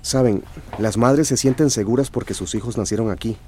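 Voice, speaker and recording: A man playing an earnest journalist and boyfriend in a radio drama, with a measured manner. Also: mid-range voice, darkish tone, a little hiss or hum, lightly acted.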